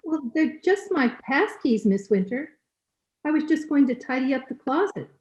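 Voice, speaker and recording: A woman's voice: sits high, animated, moderate pace, darkish tone. A woman playing a meek, apologetic maid in an old-time radio drama, with a deferential, protesting manner. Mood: innocent, defensive. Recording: noisy remote call with clicks.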